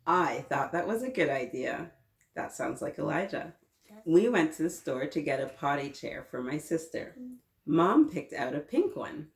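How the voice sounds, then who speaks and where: In a roomy, echoing space, a woman in her thirties leads story time for small children. Her voice is on the low side and swoops expressively as she reads and chats. She keeps encouraging the young listeners with questions. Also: sing-song, moderate pace. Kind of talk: read-aloud storytelling with children, chatting along. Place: small children's playroom, indoors. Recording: roomy echo, mic at a little distance.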